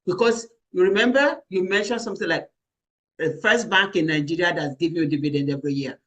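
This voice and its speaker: A man moderating a panel, passing along audience questions in halting, restarting sentences. His voice is fairly high for a man and notably bright, with an edge to it. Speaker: host. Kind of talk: moderating a panel talk show.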